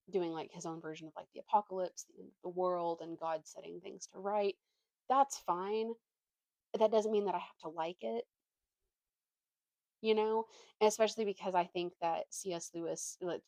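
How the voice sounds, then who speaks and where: A young woman talks in a casual vlog style with a voice a little on the low side. She is opinionated and slangy, with a self-deprecating streak. The sound is dry, with little room to it. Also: a little mellow, no echo. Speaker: book vlogger.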